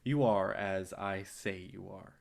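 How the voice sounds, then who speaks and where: An actor in a staged reading playing a domineering, cynical film distributor with a condescending, lecturing manner. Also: male voice, low voice, creaky, heavily acted. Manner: condescending, rapid-fire lecturing.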